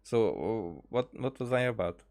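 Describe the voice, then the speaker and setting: A man livestreaming a game in a casual, rambling way, often halting and trailing off mid-thought. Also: on the low side, animated, darkish tone. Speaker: game streamer. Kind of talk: casual livestream chat over gameplay.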